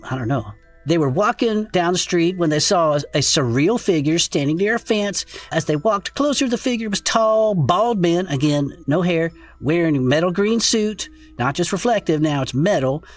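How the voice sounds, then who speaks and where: A performer playing a folksy, excitable male podcaster in a comedic audio drama, reading strange reports aloud with incredulous asides. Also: medium pitch, normal speed, faint music behind, close to an everyday voice. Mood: amused, incredulous. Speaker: co-host reading case reports.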